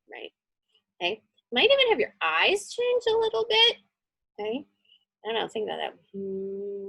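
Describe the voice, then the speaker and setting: A woman's voice: mid-range voice, vocal fry, a bit bright, breathy edge, dry recording, low-quality recording. A woman teacher casually talking children through a drawing step by step, thinking aloud and often checking in with little questions.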